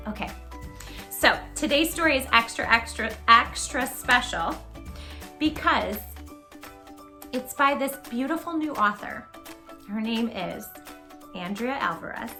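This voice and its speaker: Female children's story host, bright and highly animated delivery, speaks straight to the child with encouraging asides. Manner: encouraging, addresses the child listener directly with questions. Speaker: host reading picture books aloud to children. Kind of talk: animated children's read-aloud with chatty asides to young listeners.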